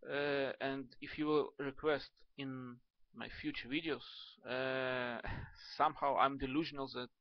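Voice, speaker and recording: A young man from Ukraine, a native Russian speaker, with a Russian and Hebrew accent, talking to camera in a halting, casual way with many fillers and restarts. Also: mid-range voice, flat delivery, no room sound, dull audio. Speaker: video blogger.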